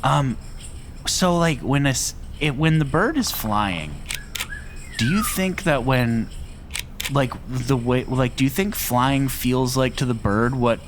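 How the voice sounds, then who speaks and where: A man playing an earnest, slightly dim, laid-back museum visitor in naturalistic audio comedy, halting and trailing off. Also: medium pitch, a little hiss or hum, close to an everyday voice.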